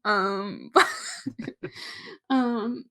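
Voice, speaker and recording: A young woman co-hosting a podcast in casual chat, giggly and animated, full of "like" and "um". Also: medium pitch, drawn-out words. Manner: giggly, filler-heavy, animated. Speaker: podcast co-host.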